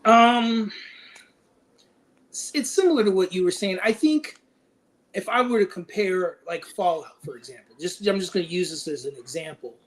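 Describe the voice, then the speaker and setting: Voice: mid-range voice, lively intonation, normal speed, poor audio. A panelist in a casual discussion, thinking aloud and tentatively working through opinions. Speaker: panelist on a film and TV discussion show.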